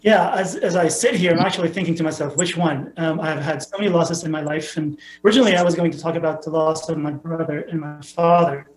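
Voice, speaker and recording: A man's voice: fairly high pitch, quick pace, hardly any pauses, echoey room, poor audio. A middle-aged man, a pastor, speaking reflectively and thinking aloud.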